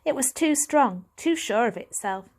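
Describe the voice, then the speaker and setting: A woman narrates a children's audiobook. Her voice is on the high side, reading scripted prose as a storyteller. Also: lively intonation, normal speed, a little mellow. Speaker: audiobook reader.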